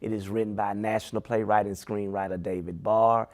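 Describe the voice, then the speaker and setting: A man's voice: lowish voice, flat delivery, a little fast. An American man, a stage play director, giving conversational event announcements as an interview guest.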